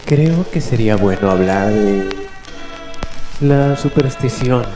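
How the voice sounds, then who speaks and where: A man hosting a late-night mystery radio show, speaking in dramatic, deliberate phrases with pauses between them. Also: medium pitch, lively intonation. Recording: echoey voice over background music. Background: music.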